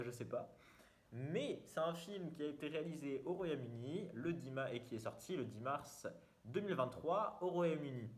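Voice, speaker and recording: A man's voice: on the high side, a little mellow. A young man, hosting a casual vlog in a rambling, jokey way, full of fillers and restarts. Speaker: YouTuber. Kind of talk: casual self-mocking vlog.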